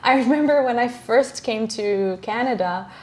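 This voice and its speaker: A woman's voice: a little high, moderate pace. A young woman from Morocco, a guest entrepreneur chatting casually in an interview and laughing often. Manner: laughs often, lively voices for quoted people.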